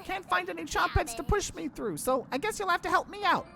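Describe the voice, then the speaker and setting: A woman's voice: extremely high pitch, expressive, a little fast, gravelly, full character voice. A woman voicing a bossy, perky little cabbage creature in a video game, chirpy and childlike. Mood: bossy, cheery. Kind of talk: visual novel game character acting. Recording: game music behind the voice.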